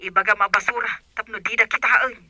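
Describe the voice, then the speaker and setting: A woman playing a cautious, secretive aunt giving measured instructions in an audio drama, over a phone call. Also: mid-range voice, steady tone, close to an everyday voice. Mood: cautious, instructing. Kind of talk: Ilocano audio drama acting.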